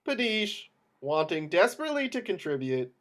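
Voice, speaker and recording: Male narrator, slightly high voice, clipped phrases, reading screenplay action, amateur table read. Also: sing-song, moderate pace. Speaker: narrator reading stage directions.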